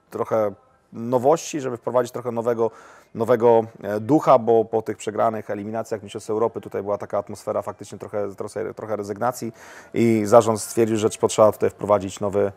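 A man's voice: lowish voice, creaky, a little mellow. A man in his forties, a football coach, answering interview questions in a lounge, often repeating words and restarting his sentences. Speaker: national women's team coach. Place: lounge with armchairs, indoors.

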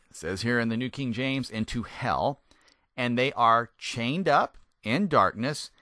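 A man, a native English speaker, gives a conversational Bible teaching, putting questions to the listener and stressing words deliberately. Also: medium pitch, sharp tone, no room sound, studio quality. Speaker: Bible teacher, former pastor. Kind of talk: conversational sermon, Bible teaching with scripture reading.

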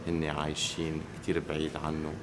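A middle-aged man with a Lebanese accent speaks in an interview. His voice is extremely low and even, delivered at a very rapid pace. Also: noisy background. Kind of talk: interview explanation. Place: room lined with bookshelves.

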